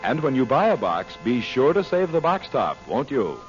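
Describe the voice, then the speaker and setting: A man announcing in vintage radio style, reading a scripted pitch in an emphatic, salesmanlike way. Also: very animated, normal speed. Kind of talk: 1940s scripted radio ad and sign-off. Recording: muffled old radio broadcast recording. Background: music.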